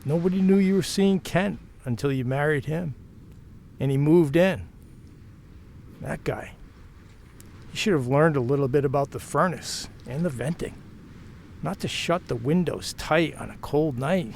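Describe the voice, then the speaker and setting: A man's voice: fairly high pitch, expressive, unhurried, creaky, pauses often, darkish tone, a little hiss or hum. A man playing a frank, protective married mill worker and former lover in an audio drama, talking in a plain, reassuring, conversational way.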